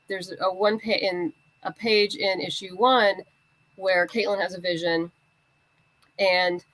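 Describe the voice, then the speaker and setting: A woman's voice: fairly low pitch, fairly bright tone, no room sound, poor audio. A woman speaking as an interview guest, a writer explaining her creative work informally through anecdotes and quoted exchanges. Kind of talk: conversational interview answers, anecdotes.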